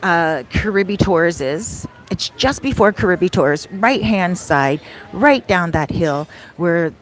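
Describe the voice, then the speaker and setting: A woman's voice: lowish voice, lively intonation, slow, soft background music. A woman hosting a casual livestream Q&A, chatting conversationally and wandering off into asides. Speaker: livestream host answering viewer questions.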